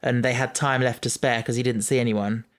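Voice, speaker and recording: Man, podcast co-host, casual chat, middle pitch, thinks aloud, restarts often. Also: level tone, a little fast.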